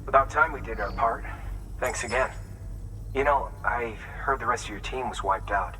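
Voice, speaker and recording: An actor playing an earnest, plain-spoken wind farm worker in a video game, grateful and weary. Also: male voice, medium pitch, normal speed, sharp tone, far from the mic, close to an everyday voice, low-quality recording. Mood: earnest, admiring.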